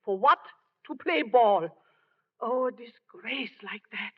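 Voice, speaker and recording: A high-pitched, proud immigrant mother in an old radio play. She is blunt and scolding, and will not bend. The recording is dull and vintage. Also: takes it slowly, breathy edge. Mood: bitter, scolding. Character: proud, stubborn immigrant mother, disapproving of baseball. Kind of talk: 1940s radio acting.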